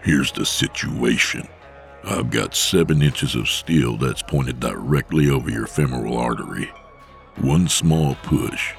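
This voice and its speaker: Man growling as a gravelly deep enforcer. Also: full character voice. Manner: slow, threatening.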